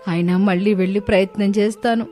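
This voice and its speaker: A woman's voice: medium pitch, fairly even intonation, fast, dark tone, faint music behind, close to an everyday voice. A woman voicing a distressed, loving mother cow in a storybook tale, lamenting and pleading. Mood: worried, resolute.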